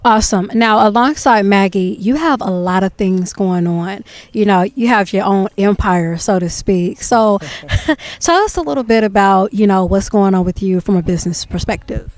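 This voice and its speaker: A woman hosting an interview show, upbeat and full of quick affirmations, with some fillers as she asks questions. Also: mid-range voice. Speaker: talk show host.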